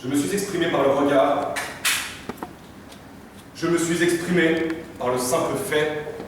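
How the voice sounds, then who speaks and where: A young man, a drama student, calmly declaiming a list of statements on stage. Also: a little high, a little slow, noisy background. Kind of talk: stage recitation. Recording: echoey hall, distant mic.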